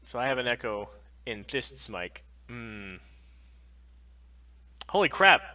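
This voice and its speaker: A man's voice: mid-range voice, big pitch swings, slow, bright, forceful tone. A man chatting informally and swearing freely as co-host of a casual livestream, speaking into a headset. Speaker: podcast stream co-host.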